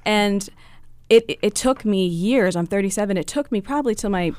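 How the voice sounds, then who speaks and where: A woman's voice: mid-range voice, normal speed, a little mellow, clean tone, no room sound. A woman in her thirties from Baltimore County, a radio panel guest speaking earnestly and personally. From Baltimore County, Maryland. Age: 30s. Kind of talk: casual discussion.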